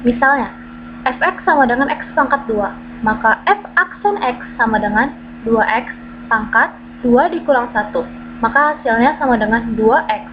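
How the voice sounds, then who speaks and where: A woman's voice: fairly high pitch, normal speed. Woman reading a math lesson, lo-fi. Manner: steady, methodical, step-by-step reading of formulas. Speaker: math tutor. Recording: dull lo-fi recording with clear background hum.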